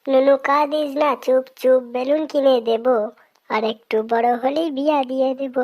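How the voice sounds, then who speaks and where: A woman's voice: sits high, steady tone, moderate pace, mellow, natural voice. A woman voicing an exasperated but indulgent village mother in a comic cartoon, colloquial and placating. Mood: coaxing. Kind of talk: comic Bengali cartoon dubbing.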